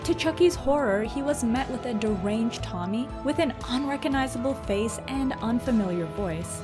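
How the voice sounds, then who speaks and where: Higher-voiced woman narrator over music. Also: expressive, normal speed. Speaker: host and narrator of a countdown video. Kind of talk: scripted narration about cartoons. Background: music behind the voice.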